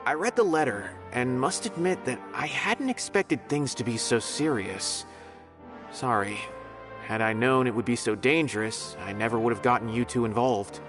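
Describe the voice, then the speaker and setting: A man's voice: medium pitch, normal speed, over music, close to an everyday voice. A man voicing a polite, earnest scholar who guides the player and explains things courteously in a game cutscene.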